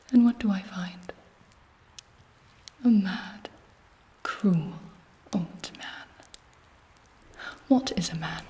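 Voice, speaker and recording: An imperious vampire queen, acted out by a woman for an ASMR roleplay. She whispers breathily and very slowly, with measured pauses. Her manner is haughty, seductive and contemptuous, that of a schemer used to command. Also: medium pitch, darkish tone, close to an everyday voice, rough recording. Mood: scornful.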